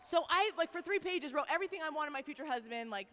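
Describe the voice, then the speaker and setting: Woman, twenties, faith talk, animated storytelling, slightly high voice, long hall reverb. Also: fast talker. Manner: animated storytelling with acted quotes and asides. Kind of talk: faith talk to a live audience.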